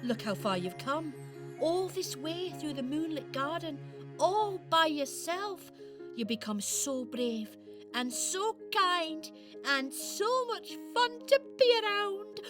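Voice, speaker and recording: A kindly Christmas tree fairy played by a woman in a children's radio play. The delivery is gentle and unhurried, with a motherly, reassuring manner and steady encouragement. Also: very high-pitched, music in the background, exaggerated voice. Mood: proud, reassuring.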